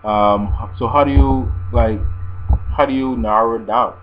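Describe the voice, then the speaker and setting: A man's voice: medium pitch, steady tone, a little slow. A man giving casual, explanatory advice to viewers in a language-learning video blog, often repeating his phrases as he goes. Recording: dull lo-fi sound with mains hum.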